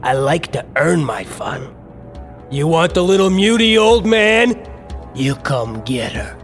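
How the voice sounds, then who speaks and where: A man's voice: fairly high pitch, expressive, slow, soft background music. An actor playing a sadistic male gang thug who mocks and threatens his captive with gleeful menace, in an audio drama. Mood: taunting.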